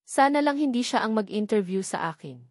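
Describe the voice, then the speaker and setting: A woman's voice: on the high side, expressive, brisk, no echo, studio quality. A woman storyteller voicing a flustered, humble young provincial woman who speaks politely and hesitantly. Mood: worried. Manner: polite, hesitant, self-talking. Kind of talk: audio storybook character voice.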